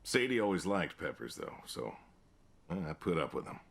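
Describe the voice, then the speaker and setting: An actor playing a weary, stubborn old American pig farmer in a video game, speaking in a subdued, laconic, plain way. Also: male voice, sing-song, moderate pace, full character voice. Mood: reflective, matter-of-fact. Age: older. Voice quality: low, gravelly. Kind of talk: naturalistic video game dialogue.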